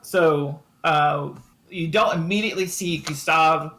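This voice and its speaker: A man narrating a tabletop game as game master, improvising with frequent ums and pauses. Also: fairly high pitch, fairly bright tone, slight background noise.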